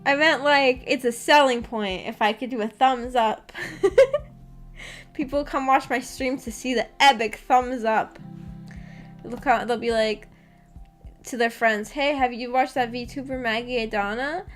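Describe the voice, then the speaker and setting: A woman's voice: on the high side, fairly even intonation, unhurried. A woman streamer chatting casually with viewers in a giggly, self-deprecating, rambling way. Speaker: VTuber streamer and host. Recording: background music behind the voice. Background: music.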